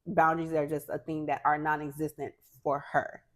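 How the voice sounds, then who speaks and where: A woman's voice: low voice, moderate pace. A young adult woman co-hosting a podcast, talking animatedly and asking questions in casual chat. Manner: animated, questioning, laughs often. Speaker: podcast co-host.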